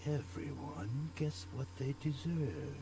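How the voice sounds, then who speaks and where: An actor playing a cryptic, sinister antique shopkeeper in a video game, telling morbid tales in a measured, formal, ominous way. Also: male voice, mid-range voice, sing-song, creaky, noisy background, exaggerated voice. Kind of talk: dramatic video game acting.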